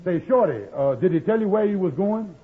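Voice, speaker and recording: An actor playing a concerned, coaxing friend in 1950s radio comedy, raspy and hesitant, in folksy ungrammatical dialect. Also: male voice, high voice, lively intonation, fairly quick. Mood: puzzled. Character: concerned, coaxing friend searching for a missing pal. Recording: band-limited, tinny old radio recording.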